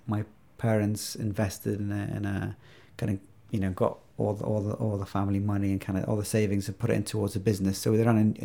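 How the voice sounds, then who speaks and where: Podcast guest, man in his thirties from Iraq, warm gravelly low voice, thoughtful and hesitant. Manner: reflective, many fillers and restarts. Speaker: podcast guest, video game maker. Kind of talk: casual interview chat.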